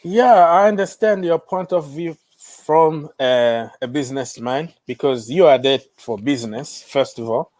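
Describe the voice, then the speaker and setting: Higher-pitched man chatting, dry sound. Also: a little slow, hardly any pauses, a little mellow, dry recording. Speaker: host of football fan discussion. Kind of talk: casual opinion chat.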